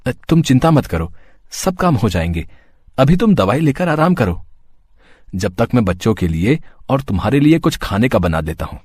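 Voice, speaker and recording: A man's voice: medium pitch, very animated, moderate pace, a little mellow, slightly rough, no room sound, studio quality. A man voicing a humble, caring husband and street sweet seller in a cartoon story, earnest in manner. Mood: reassuring.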